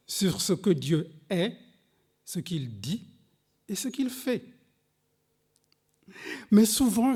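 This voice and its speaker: High-voiced, slow preacher speaking non-native French. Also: male voice, warm tone. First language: a language other than French. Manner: slow, emphatic preaching with dramatic pitch swings, reading scripture aloud; addresses the congregation directly. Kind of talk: sermon. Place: church service.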